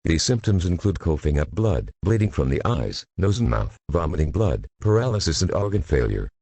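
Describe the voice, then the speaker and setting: A man voicing a solemn federal emergency announcer with a husky voice, formally reading a scripted warning. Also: deep voice, sing-song, moderate pace, warm tone, dry recording, full character voice. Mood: solemn, official.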